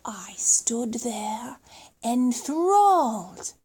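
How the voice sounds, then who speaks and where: A woman reading a children's story aloud expressively, with dramatic emphasis and suspenseful pauses. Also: fairly high pitch, sing-song, a little mellow, husky.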